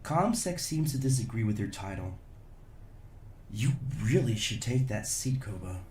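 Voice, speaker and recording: An actor playing a steady, determined security agent, businesslike and dryly pointed, in a science fiction audio drama. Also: male voice, fairly low pitch, unhurried, distant mic, a little hiss or hum. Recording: roomy echo, music underneath.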